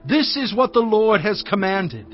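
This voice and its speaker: A man's voice: high-pitched, very animated, unhurried. A man playing Moses as a stern leader, speaking in an earnest, emphatic way, on dull audio with faint music. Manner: earnest, emphatic preaching delivery.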